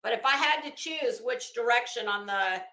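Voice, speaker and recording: A woman's voice: on the high side, animated, normal speed, bright, forceful tone. An American woman hosting a livestream, chatting casually with viewers in a blunt, emphatic, chatty way. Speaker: livestream host. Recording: echoey, roomy sound.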